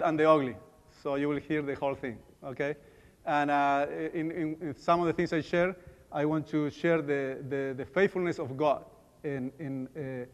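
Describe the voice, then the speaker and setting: Man, middle-aged, halting speech, lots of fillers, fairly high voice. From Argentina. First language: Spanish. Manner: halting, many fillers and restarts. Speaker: dentist giving a talk. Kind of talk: conference talk with prayer. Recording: echoey hall.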